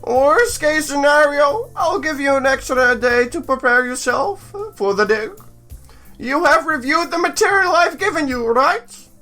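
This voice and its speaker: A man voicing a polite, businesslike young woman, a staff guide in a visual novel, speaking in a slow, instructive, drawn-out way. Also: extremely high pitch, lively intonation, sharp tone, soft background music, pitched above the performer's own voice, full character voice. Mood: courteous, instructive.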